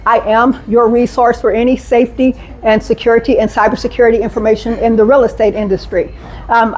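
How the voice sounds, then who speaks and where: A woman giving a live safety talk to a conference audience, energetic and engaging, often asking the room questions. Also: fairly high pitch, rough recording. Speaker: safety speaker.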